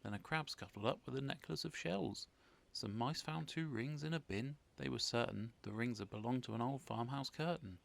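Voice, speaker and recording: A man narrating a children's storybook in an expressive read-aloud style, with faint noise behind. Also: mid-range voice, moderate pace, creaky.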